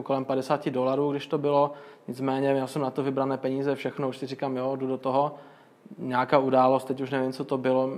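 Flat-voiced man explaining step by step. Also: mid-range voice. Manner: flat, explanatory, many fillers and restarts. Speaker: guest, crypto magazine owner. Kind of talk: casual interview answers.

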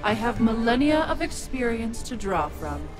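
Casting: ancient game villainess, smug, menacing, taunting, very animated pitch, music under. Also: female voice, normal speed. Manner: taunting, haughty. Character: wicked ancient female demon witch, smug and menacing. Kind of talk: video game villain acting.

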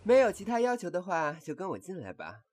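A man's voice: sits high, wildly swooping pitch, fairly quick, a little mellow. A man playing a languid, shrewd, handsome young pleasure-house boss in a comedy audio drama, haughty and drawling. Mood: smug, bargaining.

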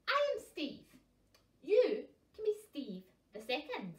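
Woman voicing a loud, boastful high-pitched puffin. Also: huge pitch swings, slow, exaggerated voice. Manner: loud, emphatic, taunting. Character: boastful, squabbling cartoon puffin, childishly competitive. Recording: roomy echo, distant microphone.

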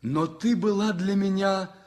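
An actor playing a gravely ill young journalist in love, speaking tenderly and earnestly in a 1960s radio play. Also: male voice, sits high, a little slow, darkish tone. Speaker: Frantishek.

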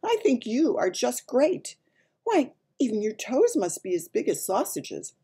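Young storybook girl, acted by a woman: earnest, questioning, easily horrified, breathy, lively pitch. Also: measured pace, full character voice. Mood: reassuring. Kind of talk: dramatised children's storybook reading.

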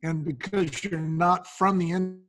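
A man's voice: high voice, steady tone, unhurried, fairly bright tone. A man sharing anecdotes in a conversational way as an interview guest. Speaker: podcast guest, entrepreneur.

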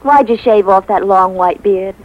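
A woman playing a gentle, wistful young mother in a 1950s radio drama, speaking sincerely. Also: mid-range voice, moderate pace, close to an everyday voice, rough recording. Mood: tender, hopeful. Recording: vintage 1950s radio recording.

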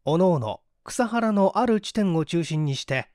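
A man's voice: sits high, expressive, measured pace, a little mellow, no room sound, studio quality. A man reading a mystery story as scripted narration, with a dramatic manner that acts out the dialogue. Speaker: audiobook reader, stage actor.

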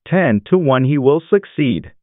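Male phrase reader for a lesson, lively pitch, dry narrow-band sound. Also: unhurried, no room sound. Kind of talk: repeating phrases for learners.

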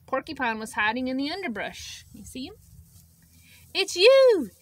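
A middle-aged woman reading a picture book aloud to children, expressively, pausing to ask her young listeners simple questions. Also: high voice, animated, fairly bright tone, no room sound. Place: outdoors beside a wire poultry pen. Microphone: camera a step or two away. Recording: outdoor noise with clucking poultry. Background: chickens and outdoor ambience.

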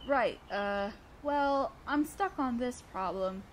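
A woman's voice: on the high side, takes it slowly, slight background noise. A woman playing an awkward, flustered young student council president in a romantic audio roleplay, with a hesitant, earnest delivery.

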